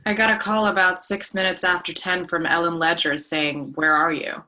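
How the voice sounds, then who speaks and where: A woman acting a shaken congregant in a documentary play. Her voice is somewhat low with a clear, forward edge, and she recounts things plainly, as testimony. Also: level tone, normal speed, pushed and bright. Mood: plain recollection. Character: shaken synagogue congregant recounting the morning of an attack. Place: remote Zoom reading from a room.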